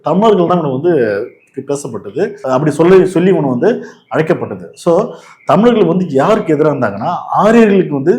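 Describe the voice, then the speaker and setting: A man's voice: on the high side, very animated, brisk, darkish tone. A man with a Tamil Nadu accent giving casual, opinionated political commentary as a host, explaining in an argumentative way.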